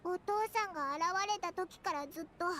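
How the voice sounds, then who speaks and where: An actress playing a gentle young girl in an anime fantasy game. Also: very high voice, racing, music in the background. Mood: concerned, explaining. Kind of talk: Japanese anime game voice acting.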